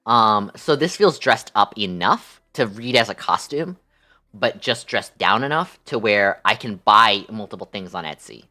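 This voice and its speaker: A man's voice: on the low side, animated, measured pace, bright, forceful tone. A young man livestreaming, chatting casually with his viewers in a playful, affectionate manner.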